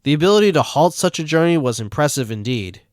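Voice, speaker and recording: A man reading fan fiction aloud as the narrator in a steady, plain way. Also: fairly high pitch, fast talker, no echo, clean studio sound. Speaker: fanfic reader.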